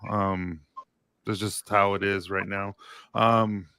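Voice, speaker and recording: Male business owner, bright forceful tone, tired and rambling. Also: fairly low pitch, little pitch movement, slow pace, clean tone, no echo. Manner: tired, laid-back, rambling. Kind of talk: casual livestream chat.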